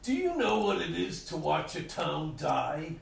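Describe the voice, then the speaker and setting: A man playing an ancient, bitter, derelict coal tower in a radio play, with a gravelly voice and a grim, ominous way of speaking. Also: a little high, big pitch swings, exaggerated voice. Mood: bleak, ominous. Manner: grim, slow, ominous monologue. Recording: noisy, echoey, distant microphone.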